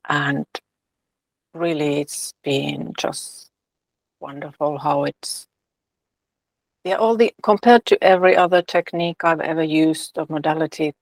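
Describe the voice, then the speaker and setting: Gravelly-voiced woman, dry sound. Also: low voice, darkish tone, no room sound. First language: Finnish. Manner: thoughtful, hesitant with fillers. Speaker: guest, releasing facilitator and author. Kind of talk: casual interview talk.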